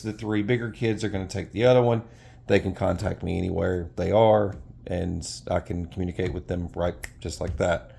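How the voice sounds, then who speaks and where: A man in middle age with a fairly low-pitched voice talks through a product review off the cuff. His delivery is informal and rambling, and he tends to correct himself mid-thought. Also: gravelly, a little mellow.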